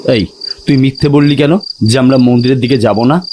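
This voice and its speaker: A man's voice: mid-range voice, fairly even intonation, a little fast, background noise, lightly acted. A man playing a sceptical, hesitant young city man in an audio horror story, talking in a conversational, reasoning way. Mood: questioning. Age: young adult.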